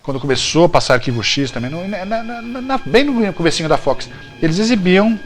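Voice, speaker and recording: A man's voice: high-pitched, very animated, a little fast, music in the background. A Brazilian man hosting a show, talking casually and jokily about trivia and often correcting himself. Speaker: show host. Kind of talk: casual, chatty TV-trivia commentary.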